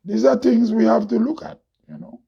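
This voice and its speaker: A man from Grenada with a Grenadian accent and a loud, heavy voice, hosting an impassioned monologue full of rhetorical questions. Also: very high voice, moderate pace, vocal fry, breathy edge. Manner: preachy, rhetorical questions, laughs often. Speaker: host. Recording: dull lo-fi recording.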